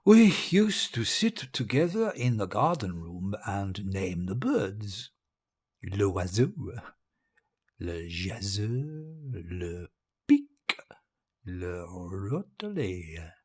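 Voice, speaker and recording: Husky, pompous dying dictator. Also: male voice, low voice, very animated, extremely slow, a little mellow, full character voice. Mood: wistful. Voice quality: husky, breathy rasp. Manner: pompous, theatrical, savouring words, drifting into murmurs. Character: deposed-feeling murderous dictator facing death, grandiose and bitter. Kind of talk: dramatic monologue in audio drama.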